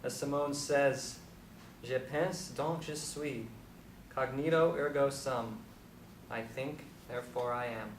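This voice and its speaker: An actor playing a blunt, irreverent aging Beat intellectual who philosophizes deliberately in a stage drama. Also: male voice, mid-range voice, close to an everyday voice. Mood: pontificating. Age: older. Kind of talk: stage play dialogue. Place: live stage performance recorded from a distance. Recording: echoey, distant mic, faint noise.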